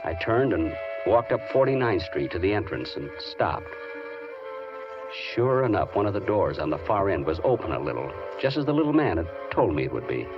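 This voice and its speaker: A mild, henpecked American man in an old radio drama, hesitant and plaintive, his lowish voice swinging up and down with feeling. The recording is dull and vintage, with music behind it. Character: Hercules, mild, nervous, henpecked piano tuner. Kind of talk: 1940s radio drama acting with first-person narration.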